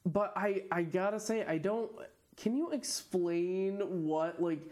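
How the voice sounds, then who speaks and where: An afab podcast guest with a fairly low voice, talking casually in a hesitant, rambling way full of fillers and restarts, often laughing mid-sentence. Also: female voice, takes it slowly, a little mellow. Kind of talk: casual conversation.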